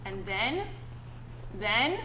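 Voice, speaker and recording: A woman's voice: mid-range voice, big pitch swings, extremely slow, pushed and bright. A woman, a teacher, reading a picture book aloud expressively and talking to young children with questions and simple explanations. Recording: echoey room, clearly noisy background.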